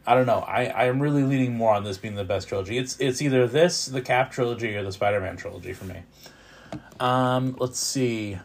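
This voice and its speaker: A man's voice: medium pitch, gravelly. Man giving a rambling casual film review. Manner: rambling, halting delivery with repeated words; opinionated, informal fan talk. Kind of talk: casual unscripted movie review.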